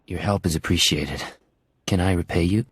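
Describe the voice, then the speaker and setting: A man's voice: low voice, normal speed, fairly bright tone, breathy edge, dry recording. A man playing a cool, businesslike hired operative in a video game, controlled and matter-of-fact.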